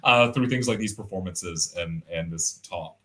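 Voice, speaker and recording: Young adult man, deep resonant voice, bright tone, lowish pitch, rambling and thoughtful, video call. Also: moderate pace. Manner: thoughtful, rambling, frequent fillers and restarts. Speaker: artist guest. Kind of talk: casual conversational interview.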